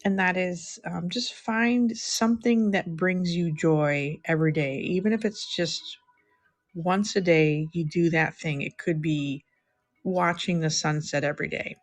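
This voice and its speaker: A middle-aged woman chatting softly and unhurriedly with viewers while she draws on a livestream. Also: on the low side, a little slow. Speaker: live-streaming artist. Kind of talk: casual livestream chat while drawing.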